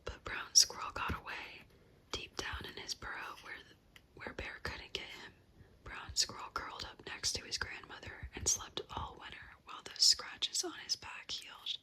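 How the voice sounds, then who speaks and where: Whispering woman telling a bedtime story. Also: normal speed. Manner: soft unvoiced whisper throughout.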